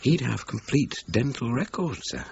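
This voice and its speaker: An actor playing a middle-aged British police inspector in a radio crime drama, asking thorough, polite questions with thoughtful grunts. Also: male voice, medium pitch, lively intonation, normal speed, creaky, a little mellow. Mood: polite, probing. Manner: questioning, hesitant musing with grunts. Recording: dull lo-fi radio recording, faint noise.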